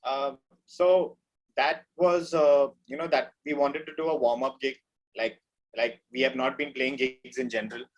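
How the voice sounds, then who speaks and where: A man's voice: medium pitch, fairly even intonation, normal speed, lo-fi sound. A man speaking as an interview guest, explaining things in an informal, conversational way. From India. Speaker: band drummer, interview guest. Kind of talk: casual interview chat.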